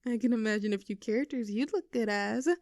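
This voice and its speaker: A woman's voice: a little high, darkish tone, dry recording, clean studio sound, natural voice. A woman playing an affectionate, teasing girlfriend with a vulnerable streak in an intimate roleplay audio drama. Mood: playful, teasing. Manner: teasing, halting when earnest.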